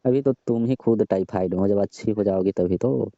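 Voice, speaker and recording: A middle-aged man with a very deep, mellow voice speaks slowly and casually, and his tone is flirting. The phone call sounds muffled and dry. He answers with short murmured agreements between his longer lines. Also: dry recording. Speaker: village quack doctor. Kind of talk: staged funny phone call.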